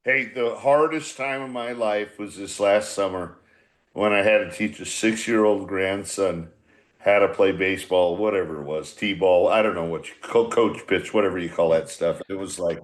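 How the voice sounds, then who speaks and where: A man's voice: low voice, moderate pace. An American man in his sixties with a blunt, salty, rambling manner, chatting casually as a veteran baseball manager. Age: 60s. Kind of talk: casual profane group chat.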